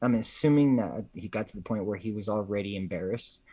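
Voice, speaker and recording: Husky man ranting in slangy casual talk. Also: lowish voice, a little fast, dry recording, dull audio. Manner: slangy, profane, repeats phrases for emphasis. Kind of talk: casual opinionated chat.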